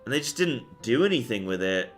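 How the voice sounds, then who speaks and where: A man's voice: medium pitch, very animated, a little fast. A young man chatting casually with viewers on a game stream, rambling with plenty of fillers and getting fired up as he rants. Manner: rambling, many fillers, gets worked up when ranting. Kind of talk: casual live-stream chat. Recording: faint music behind.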